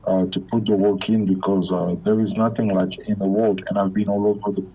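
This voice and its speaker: A man from Belgium, a former pro football player, talking as a guest in an interview, halting with many repeated words and fillers, over a phone line. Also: fairly low pitch, level tone, slightly rough. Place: Africa.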